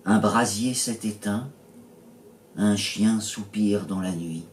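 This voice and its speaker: A man recites poetry in a fairly low voice and at a very slow pace. He measures out short phrases with pauses between them. The recording is distant and roomy, with echo around the voice. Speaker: poet reading own poems. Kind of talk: slow literary poetry reading. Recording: roomy echo, distant mic, faint noise.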